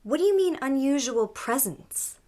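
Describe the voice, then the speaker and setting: A woman's voice: sits high, animated, normal speed, darkish tone. A woman playing a guarded, prickly American newcomer in a naturalistic radio drama. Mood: guarded, amused. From United States, Seattle.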